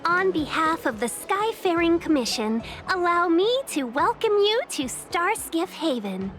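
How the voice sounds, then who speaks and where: A woman's voice: very high voice, very animated, a little slow, a bit bright, heavily acted. An actress playing a polite young fox woman, a chatty and formal guide and envoy in a video game. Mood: welcoming, brisk. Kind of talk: scripted video game dialogue. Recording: music behind the voice.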